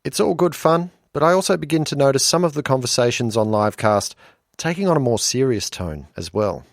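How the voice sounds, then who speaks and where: A man from Western Australia, hosting and narrating a podcast in a relaxed, wry way. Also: mid-range voice, a little fast, no room sound, clean studio sound. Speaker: podcast host.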